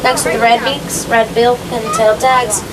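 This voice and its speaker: A woman's voice: level tone, moderate pace, crowd noise. A woman with an American accent, working as a tour guide and narrating animal facts from a script on a safari ride. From United States. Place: moving open safari vehicle outdoors. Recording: very noisy, distant vehicle loudspeaker. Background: loud vehicle rumble and ambience.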